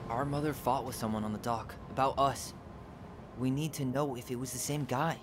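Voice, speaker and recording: An actor as an earnest young American man, loud music behind. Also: medium pitch, steady tone, normal speed, close to an everyday voice. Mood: urgent. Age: young adult. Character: earnest, driven young man pleading with his sister. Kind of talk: video game cutscene acting. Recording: game dialogue under loud music.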